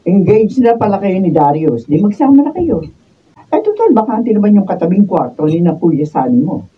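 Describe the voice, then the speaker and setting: Thin far-off recording, husky nagging older mom in a radio drama, voice swoops a lot. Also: female voice, mid-range voice, big pitch swings, normal speed. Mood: pushy. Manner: blunt, dismissive. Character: nagging, cynical older mother, scornful of weddings. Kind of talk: Filipino radio drama acting. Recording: distant, thin phone-like capture.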